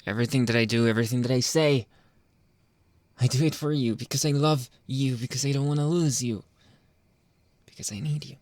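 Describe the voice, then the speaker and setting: A man playing a jealous, controlling young boyfriend in an intimate ASMR roleplay, halting and pleading, often dropping toward a whisper. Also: mid-range voice, steady tone, darkish tone, no echo, lightly acted. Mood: pleading, intense. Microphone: close, dry microphone.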